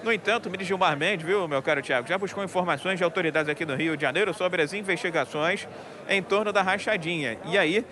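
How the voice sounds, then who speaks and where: Male field reporter, slightly high voice, chatty live hit outdoors, crowd noise behind. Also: quick pace. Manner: chatty, many fillers, addresses anchor by name. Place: outdoors at a crowded public event. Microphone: handheld mic, wearing a face mask. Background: crowd murmur.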